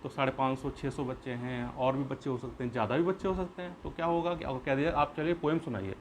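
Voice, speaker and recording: A man's voice: medium pitch, fairly even intonation, quick pace. A man teaching a lesson in an explanatory way, repeating points and answering his own questions. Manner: repeats and rephrases points, poses questions then answers them. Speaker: school teacher. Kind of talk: explanatory classroom-style lesson. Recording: clear background noise.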